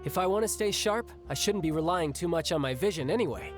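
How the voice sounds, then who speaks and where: An actor playing a young, restless, battle-hungry warrior in a video game, speaking in a breezy, reflective way. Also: male voice, a little high, lively intonation, fast, natural voice. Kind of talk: video game cutscene acting. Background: game music.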